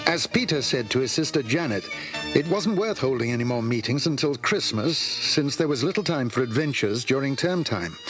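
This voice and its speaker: A man's voice: medium pitch, lightly acted. A man reading scripted storybook narration as the narrator of a dramatisation. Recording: music under voice, old cassette dramatisation. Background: music.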